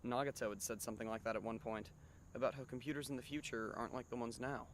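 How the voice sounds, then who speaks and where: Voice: mid-range voice, fairly even intonation, quick pace, a little hiss or hum, lightly acted. Actor as a hesitant, puzzled teenage schoolboy. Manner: hesitant, questioning. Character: teenage high school boy, puzzled, questioning narrator-hero. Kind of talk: anime fan dub acting. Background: background music.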